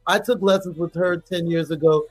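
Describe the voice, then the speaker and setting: A woman's voice: lowish voice, fairly even intonation, normal speed, a little mellow, low-quality recording. A woman singer, a guest in an interview, talking chattily about her career and laughing easily. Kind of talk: casual interview talk.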